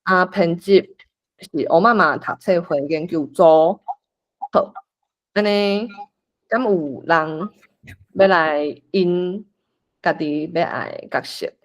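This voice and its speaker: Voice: medium pitch, takes it slowly, long pauses. A person with a Taiwanese accent explaining and organising a group script reading, thinking aloud in an informal way as the facilitator. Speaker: workshop facilitator.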